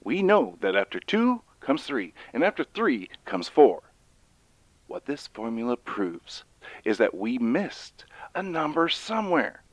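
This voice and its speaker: A man's voice: medium pitch, dry recording, exaggerated voice. An actor playing an earnest male professor who explains urgently and emphatically in an audio drama. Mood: emphatic explaining. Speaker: professor, researcher. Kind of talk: dramatized audio fiction monologue.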